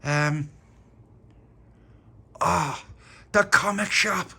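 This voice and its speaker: A man doing a small boy, pitched far above a grown man's voice. He gushes and stumbles in a breathless rush, generous to the core and thrilled about his birthday. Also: very slow, creaky, sharp tone, breathy edge, full character voice. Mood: eager. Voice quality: high, breathless child voice.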